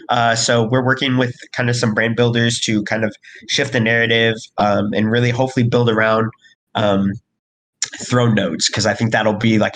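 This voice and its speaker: A man from a crypto project team giving a casual update in a live voice chat, hoarse from recent illness and rambling with lots of fillers and restarts. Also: mid-range voice, fairly bright tone, slight background noise, rough recording. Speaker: crypto project team member hosting an AMA.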